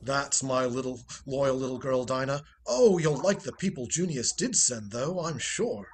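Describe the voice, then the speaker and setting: A man's voice: medium pitch, a little slow, lightly acted. A man playing a suave, roguish ex-husband in radio comedy style, breezy, wry and needling, on a home recording. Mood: breezy, teasing. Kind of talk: 1940s radio comedy acting.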